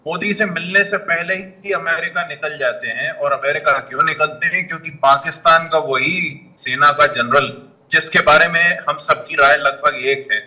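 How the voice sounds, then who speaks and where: A man's voice: on the high side, normal speed, sharp tone, rough recording. A man arguing as an opposition spokesman on a TV debate, challenging and argumentative.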